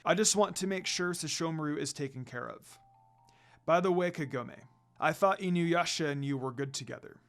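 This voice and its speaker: A man voicing a calm, kindly dog demon spirit, a father speaking gently and solemnly in a fan fiction reading. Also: a little high, normal speed, gravelly, soft background music.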